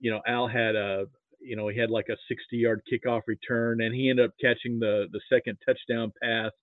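An American man, middle-aged or older, reminiscing casually as an interview guest in a halting way, often repeating words. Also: medium pitch, level tone, no room sound. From Nebraska, United States. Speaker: interview guest, football archivist. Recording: thin phone-like line.

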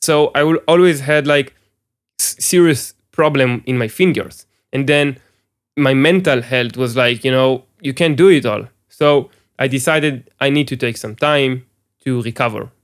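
A man's voice: medium pitch, measured pace. A man hosting a solo podcast, speaking earnestly through throat pain, with frequent restarts, repeated words and questions to the listener. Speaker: podcast host.

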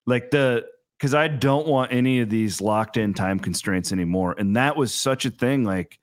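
A man, hosting a casual podcast chat and talking in quick bursts with restarts. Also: mid-range voice, no room sound, studio quality. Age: 30s. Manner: energetic, talks in bursts with restarts. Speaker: podcast host.

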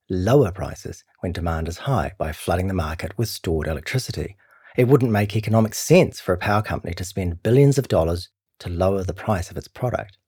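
Kiwi guy reading a serious longform article, deepish voice with some rasp, very dry recording. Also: dark tone, no echo. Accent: New Zealand. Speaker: narrator of a long-read journalism podcast. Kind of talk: scripted narration of a feature article.

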